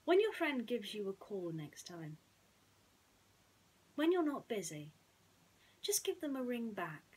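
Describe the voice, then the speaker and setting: A woman's voice: on the high side, big pitch swings, measured pace, darkish tone, breathy edge. A woman telling a bedtime story and its simple message to listeners as a storyteller. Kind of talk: bedtime story narration and gentle reflection.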